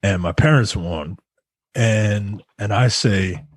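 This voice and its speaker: A man with a Baltimore accent, co-hosting a casual podcast chat, halting and repeating words as he tells a wry story. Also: fairly low pitch, no room sound. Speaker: podcast co-host.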